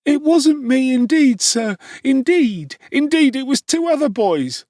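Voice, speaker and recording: A man's voice: extremely high pitch, animated, a little slow, a little mellow, no echo, clean studio sound, voice pushed up. A man voicing a frightened young orphan boy in an audiobook, pleading passionately and desperately.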